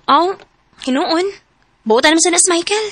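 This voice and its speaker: Casting: inquisitive, chatty Cebuano gal pal for radio serial, distinctly high-pitched. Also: female voice, expressive, normal speed, a bit bright, dry recording. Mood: curious, approving. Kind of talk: Cebuano radio drama acting.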